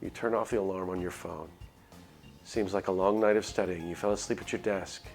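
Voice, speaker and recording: A man's voice: deep voice, fairly even intonation, brisk, darkish tone, faint music behind. A man streaming a game live, reading a visual novel aloud and chatting casually in a hesitant, rambling way.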